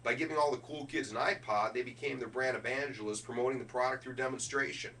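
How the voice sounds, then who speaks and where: A man's forceful, bright voice delivers a motivational keynote with deliberate emphasis on key words. He is picked up from a distance, with a faint layer of noise and hum underneath. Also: mid-range voice, fairly even intonation. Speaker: keynote speaker. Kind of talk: motivational business keynote speech.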